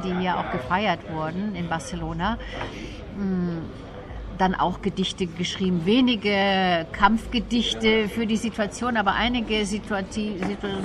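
Thoughtful woman explaining over crowd noise. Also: mid-range voice. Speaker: interview guest, literary expert and translator. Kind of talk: explanatory literary interview talk.

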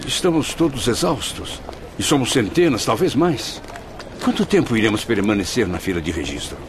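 A man's voice: medium pitch, very animated, normal speed, background noise, natural voice. An actor playing an anguished, weary man with wry humor in a Brazilian radio drama, speaking Portuguese dramatically with a Brazilian accent. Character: Camilo, anguished, exhausted male spirit with wry humor. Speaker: protagonist and narrator.

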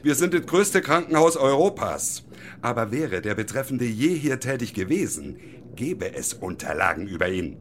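Man acting pompous hospital official, animated. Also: mid-range voice, normal speed, slight background noise, close to an everyday voice. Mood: indignant, smug. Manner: pompous, condescending. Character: pompous, defensive male hospital official, proudly Prussian. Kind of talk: German radio drama acting.

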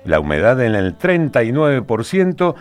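Man, Argentine accent, radio anchor, lively intonation, mid-pitched, live presenting. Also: normal speed.

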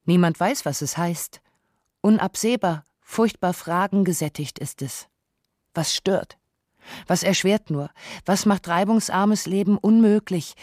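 A woman giving a dramatic reading of a literary radio essay, measured, pensive and full of rhetorical emphasis. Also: lowish voice, a little mellow, no echo, clean studio sound. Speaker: radio play performer.

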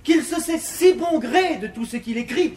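An actor playing an earnest, exasperated young gentleman in a classical verse comedy, declaiming formally in 1950s stage style. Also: extremely high pitch, expressive, normal speed. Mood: exasperated, mocking. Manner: declamatory, formal, emphatic delivery of rhymed verse. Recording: echoey, hissy 1950s theatre recording.

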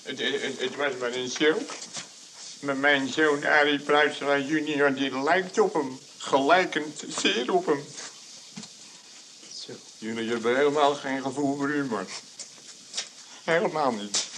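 A man's voice: medium pitch, unhurried, pauses often, a bit bright, natural voice, poor audio. An actor playing a flustered old father making excuses with stammering repeats in a comic radio play. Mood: flustered, defensive. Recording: faint noise, old radio play recording.

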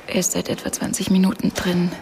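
A woman's voice: fairly low pitch, completely flat delivery, brisk, vocal fry, a little mellow, slight background noise. An actress playing an anxious, subdued woman waiting at a hospital in a radio drama.

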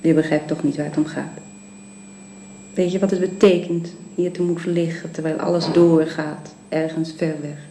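A woman's voice: deep voice, level tone, normal speed, mellow, roomy sound, a little hiss or hum. A woman acting in a radio drama as a bedridden, ailing young wife, bitter and weary, with a pointed way of talking. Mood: bitter, resigned.

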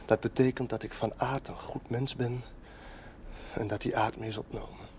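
An actor playing an embittered, lovesick young male outcast in a television drama, with a slow, brooding, softly taunting, theatrical delivery. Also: medium pitch, steady tone, natural voice. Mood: brooding, bitter. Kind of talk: 1970s Dutch television drama acting. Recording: noisy old television soundtrack.

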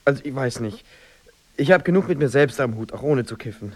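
An actor playing a wry, teasing, seductive companion in an intimate radio drama, with a playful and languid way of talking. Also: male voice, mid-range voice, animated, a little fast, noisy background, natural voice. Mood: dry, wry. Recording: music behind the voice. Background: music.

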